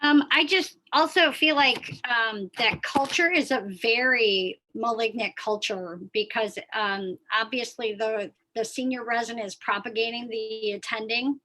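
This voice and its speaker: A woman with a somewhat high, bright and forceful voice speaks earnestly and emphatically. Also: a little slow, few pauses, low-quality recording. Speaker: workshop participant. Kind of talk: online workshop discussion.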